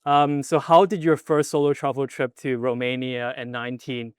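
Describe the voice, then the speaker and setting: Smooth-voiced man asking questions. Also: medium pitch, level tone, moderate pace, no room sound, studio quality. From Hong Kong. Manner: hesitant, lots of fillers and restarts while framing questions. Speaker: podcast host. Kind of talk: interview questions.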